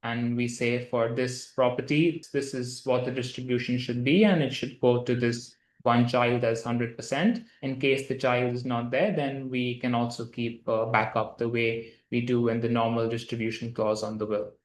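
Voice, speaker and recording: Male lawyer, monotone and matter-of-fact, roomy echo. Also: medium pitch, moderate pace, hardly any pauses. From grew up in India. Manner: steady, matter-of-fact, with occasional fillers. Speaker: lawyer, guest. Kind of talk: explanatory interview answers. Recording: echoey room.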